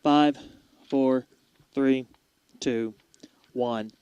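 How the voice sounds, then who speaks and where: A woman's voice: rumbling low, fairly even intonation, very slow, dark tone, clear, resonant tone, no echo, dull audio. A woman working as a newscast director, calling clipped, terse control room cues.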